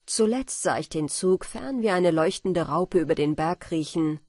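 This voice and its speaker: A woman narrating a bedtime fairy tale audiobook in a gentle, measured storytelling manner. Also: lowish voice, expressive, no room sound, studio quality.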